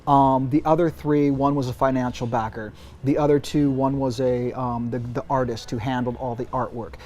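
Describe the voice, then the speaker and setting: A man in his forties giving casual interview answers, emphatic and animated, often restarting his sentences. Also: mid-range voice, normal speed, a little hiss or hum. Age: 40s. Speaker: interview guest, brand executive.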